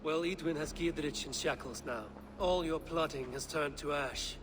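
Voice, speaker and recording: An actor playing a blunt, sceptical Norse warrior in a video game, dry and challenging. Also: male voice, fairly high pitch, moderate pace, close to an everyday voice. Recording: game dialogue over clear ambient noise.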